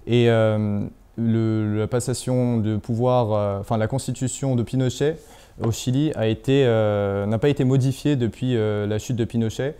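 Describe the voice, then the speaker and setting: A young man speaks as an interview guest in a very dark, smooth voice. He searches for his words, pausing and restarting often. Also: medium pitch, steady tone. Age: young adult. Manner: hesitant, many fillers and restarts. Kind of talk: interview answers.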